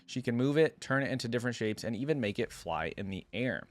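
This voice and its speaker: A man's voice: medium pitch, lively intonation, quick pace, no room sound. A man hosting a reaction video, talking informally and musing aloud as he comments and reads along.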